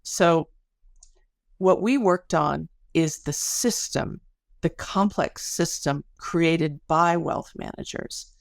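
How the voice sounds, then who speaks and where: A woman's voice: deep voice, slow, vocal fry, dry recording, clean studio sound. A middle-aged woman speaking as an academic guest in a podcast interview, explaining things articulately through stories and analogies.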